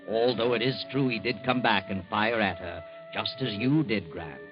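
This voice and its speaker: An actor playing a sinister, all-knowing male narrator of a 1940s radio drama, who speaks slowly and ominously with low chuckles. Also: close to an everyday voice. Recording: muffled old radio recording with music.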